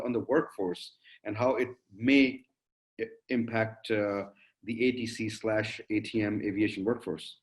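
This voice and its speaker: A man moderating a panel discussion, putting wordy, polite questions to guests in a halting way. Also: medium pitch, darkish tone.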